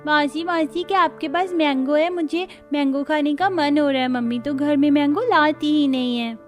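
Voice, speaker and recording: A woman's voice: high-pitched, steady tone, normal speed, faint music behind, close to an everyday voice. A woman voicing a whiny little girl who craves mangoes, pleading and insistent, in a cartoon dub. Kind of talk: cartoon dubbing.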